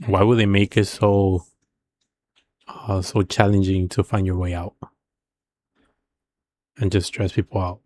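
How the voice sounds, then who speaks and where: A man with a low voice and little melody gives casual reaction commentary. He muses informally, posing questions as he thinks aloud, over faint background noise. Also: little pitch movement, unhurried, pauses often, darkish tone. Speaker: reaction video host.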